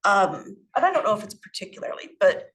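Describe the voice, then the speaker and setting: A woman's voice: medium pitch, big pitch swings, fairly quick, fairly bright tone, far from the mic. A woman from Canada in a group discussion, speaking earnestly and checking in with "you know what I mean?".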